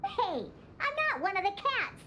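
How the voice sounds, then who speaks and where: A woman's voice: extremely high pitch, huge pitch swings, unhurried, pushed and bright, dull audio, a little hiss or hum, full character voice. An actress playing a feisty young cat-girl bartender in a video game, with a cutesy, bossy, indignant manner. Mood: indignant, determined. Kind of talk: video game character acting.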